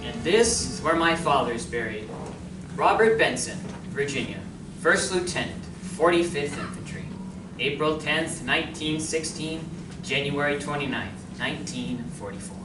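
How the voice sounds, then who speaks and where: Woman playing an eager schoolboy, lively and echoey. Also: deep voice, unhurried, over loud music. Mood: matter-of-fact. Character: eager, honest, chatty schoolboy. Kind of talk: stage radio drama acting. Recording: echoey, distant, noisy live room.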